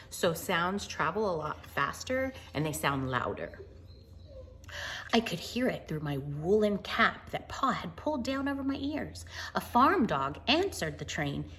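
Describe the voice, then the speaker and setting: An American woman reading a storybook aloud to children in an informal, chatty and expressive way. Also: low-pitched, slight background noise. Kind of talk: storybook read-aloud with casual asides to children.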